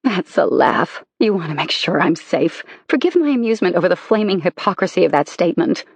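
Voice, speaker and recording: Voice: medium pitch, lively intonation, fairly quick, vocal fry, husky, no room sound. An audiobook narrator voicing a betrayed wife who is walking out on her husband, speaking in a cold, cutting, emphatic way. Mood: angry. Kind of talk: audiobook character reading.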